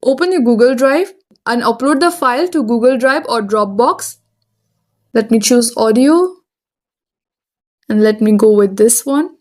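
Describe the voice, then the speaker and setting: A woman's voice: a little high, expressive, unhurried, pauses often, a little mellow. A woman hosting a tutorial, reading scripted instructions in a step-by-step way. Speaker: tutorial host.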